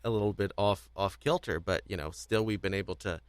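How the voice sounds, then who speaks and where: A young man with a fairly low voice hosts a casual audio discussion. He talks in a halting, conversational way, doubling back on words. The recording is dry, with hardly any room sound. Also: clean tone, no room sound. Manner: halting, repeats words, agreeable interjections. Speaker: podcast host.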